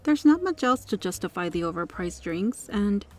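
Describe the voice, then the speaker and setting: A woman's voice: medium pitch, sing-song, normal speed, lightly acted. Woman acting as kind female diner, ASMR roleplay, polite, articulate, reassuring, music behind the voice. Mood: firm, earnest. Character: kind, well-spoken female restaurant regular, firmly protective.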